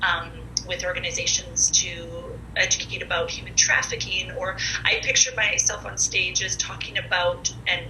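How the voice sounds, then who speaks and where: A loud woman in her forties tells her story as a podcast guest in a chatty, candid way, often pausing on fillers. Her voice is very bright and slightly low-pitched, and it rings in an echoey room with a faint hiss behind it. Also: level tone. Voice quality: loud, comfortable speaking voice. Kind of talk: casual conversational storytelling.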